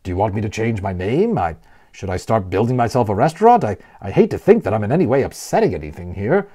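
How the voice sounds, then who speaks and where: A man's voice: on the low side, very animated, fast talker, dark tone. A man voicing a curious newcomer, a professor in a storybook, who asks questions in an eager, exclamatory way. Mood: anxious, uncertain. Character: curious, impressed male professor, newly arrived guest.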